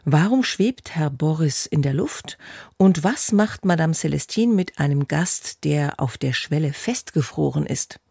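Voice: mid-range voice, expressive, a little slow, vocal fry, a little mellow, husky, no echo, clean studio sound. A radio announcer reading a scripted introduction to a programme.

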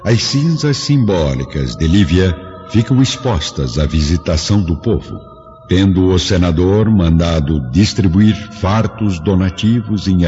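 A man's voice: sits low, a little mellow. A man narrating a radio drama in a solemn, formal, scripted style. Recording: dull lo-fi audio, faint music behind.

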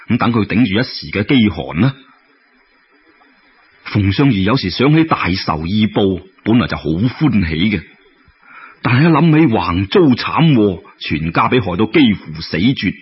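Animated Cantonese male storyteller, slightly husky. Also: on the low side, highs cut off. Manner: animated, colloquial, with exclamations and sound effects. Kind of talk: dramatic Cantonese-style folk tale storytelling.

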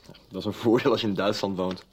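An actor playing an easygoing, helpful friend in a conversational radio soap. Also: male voice, on the low side, steady tone, fast talker, darkish tone, husky. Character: easygoing, helpful male friend living in Germany. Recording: faintly noisy 1990s radio recording.

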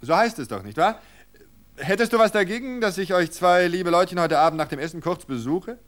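A courteous male suitor in an audio storybook, acted by a narrator, pitched well up. He is formal and pointedly polite, wounded yet insistent. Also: expressive, a little fast, a bit bright. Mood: insinuating.

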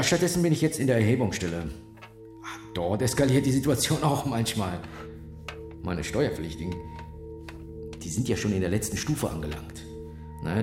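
A man playing a gruff, pedantic ex-soldier turned tax official in a radio drama, curt, dry and literal-minded. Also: lowish voice, echoey room, close to an everyday voice. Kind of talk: naturalistic radio drama acting.

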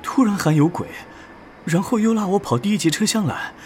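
An actor playing a puzzled, sceptical young boyfriend in an audio horror drama. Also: male voice, sits high, a little fast, a little hiss or hum, lightly acted.

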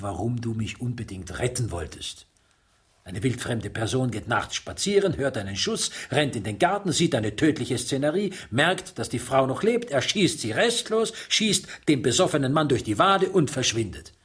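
Husky, world-weary middle-aged man. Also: medium pitch, sing-song, moderate pace, fairly bright tone, exaggerated voice. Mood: suspicious, accusing. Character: world-weary middle-aged widower, suspicious, gruff yet consoling. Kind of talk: German radio drama acting, naturalistic dialogue.

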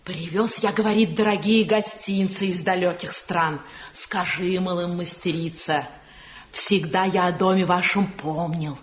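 A woman's voice: on the low side, steady tone, measured pace, slight background noise, lightly acted. A woman playing a muffled, chatty old village mother. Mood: fond, chatty. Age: older. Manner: gossipy, folksy, repetitive. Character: talkative old village mother, excitable, doting on her son. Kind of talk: radio drama acting. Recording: muffled old radio play recording, music behind.

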